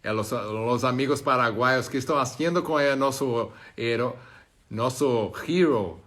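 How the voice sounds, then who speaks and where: A Portuguese-speaking man from Brazil with a Brazilian Portuguese accent, hosting a casual live stream and reading out viewer comments, halting and searching for words. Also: mid-range voice, fairly bright tone.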